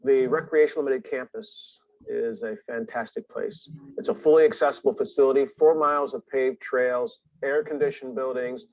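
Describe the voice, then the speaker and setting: A man's voice: medium pitch, fairly even intonation, normal speed, dry recording, muffled recording. A man who sits on a camp's board, earnestly introducing a promotional video in an upbeat way. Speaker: camp board member.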